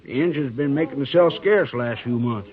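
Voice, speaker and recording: A man's voice: mid-range voice, normal speed, lightly acted. An actor playing a tactless, talkative old frontier townsman with a folksy, gossipy drawl in a 1950s radio drama. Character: talkative, tactless old-timer townsman who likes his liquor. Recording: tinny phone-like 1950s radio recording.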